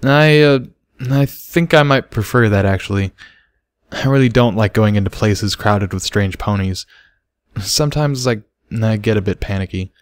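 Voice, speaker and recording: A man's voice: on the low side, normal speed, clean tone, no room sound, clean studio sound, lightly acted. A man voicing an awkward male pony mentor who explains things carefully and hesitantly in a story.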